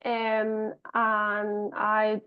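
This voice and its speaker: A woman's voice: mid-range voice, monotone, very slow, smooth, lo-fi sound. A Spanish-accented woman in her thirties, a podcast guest talking casually with many fillers and restarts. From Spain. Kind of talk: casual interview talk.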